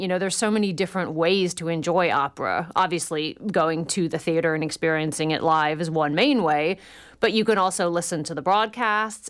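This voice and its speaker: Woman, smooth resonant voice, lowish pitch, articulate radio guest, enthusiastic. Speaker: guest author. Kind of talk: radio call-in interview.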